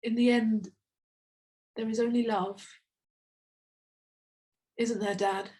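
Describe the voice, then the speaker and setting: A middle-aged woman from England performs her own spoken-word poem in a slow, intimate, reflective way. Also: a little high, steady tone, dark tone. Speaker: poet. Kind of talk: spoken word poetry reading.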